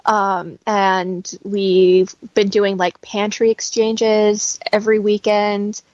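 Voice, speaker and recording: A woman chats casually as a guest. Her voice sits at a medium pitch. The recording is dry but dull and a little lo-fi. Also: flat delivery, takes it slowly, darkish tone, no echo. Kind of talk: casual chat.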